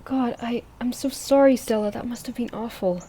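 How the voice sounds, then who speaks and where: A woman's voice: fairly high pitch, moderate pace, mellow, slightly rough. An actor playing an earnest, sympathetic woman among monster hunters, speaking hesitantly and apologetically in audio drama dialogue. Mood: apologetic, sympathetic. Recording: noticeable background noise.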